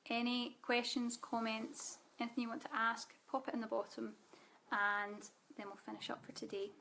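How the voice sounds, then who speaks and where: Soft-spoken Scottish woman, even tone. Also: a little high, moderate pace, slight background noise. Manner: soft guided-meditation delivery with fillers. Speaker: spiritual teacher. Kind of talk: live online group training.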